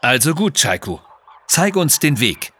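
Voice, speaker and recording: A man's voice: mid-range voice, big pitch swings, unhurried, pushed and bright, breathy edge, exaggerated voice. A man voicing a decisive, protective adventurer hero in a children's audio story.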